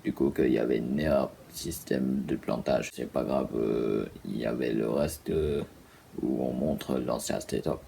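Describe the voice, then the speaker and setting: A man with an extremely deep voice and heavy vocal fry narrates haltingly. His sentences break off and restart around frequent fillers. A faint noise sits behind him. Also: dark tone. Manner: hesitant, full of fillers and broken sentences. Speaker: vlogger. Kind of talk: casual video narration.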